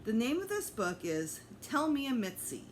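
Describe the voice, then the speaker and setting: Woman doing a boastful, lively little girl. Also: fairly high pitch, moderate pace, a little hiss or hum, pitched above the performer's own voice. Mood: proud. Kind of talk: children's book read-aloud.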